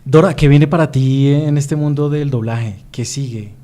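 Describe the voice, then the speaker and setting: A man's voice: on the high side, moderate pace, warm tone. A man interviewing a voice actress, giving short affirmations and asking hesitant, searching questions.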